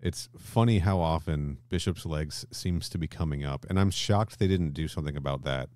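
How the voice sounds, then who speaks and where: Male podcast co-host, extremely low voice, dry sound, casual and halting explainer. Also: darkish tone, no room sound. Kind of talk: casual discussion.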